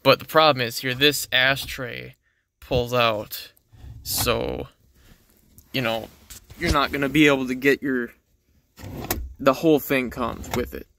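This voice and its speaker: A man's voice: mid-range voice, steady tone, slow, gravelly, pauses often, sharp tone. A man giving casual, unscripted vlog commentary in a laid-back, rambling way, recorded on a handheld phone in a garage. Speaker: vlogger.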